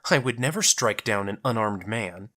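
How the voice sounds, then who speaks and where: A man's voice: lowish voice, animated, normal speed. A man voicing a defiant, hot-tempered young woman in an audio drama, with a sarcastic manner. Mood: brazen sarcasm. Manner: sharp, sarcastic.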